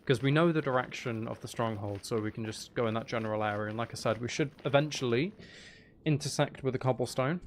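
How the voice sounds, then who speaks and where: A man's voice: medium pitch, fast. Man with crackly voice doing gameplay commentary. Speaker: gamer playing Minecraft. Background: background music.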